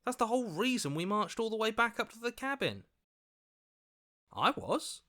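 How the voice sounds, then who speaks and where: A man's voice: sits high, sing-song, normal speed, sharp tone, heavily acted. A man playing an anxious, earnest hero in a video game, questioning and pleading like a voice of conscience. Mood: indignant, pleading. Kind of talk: video game character voice acting.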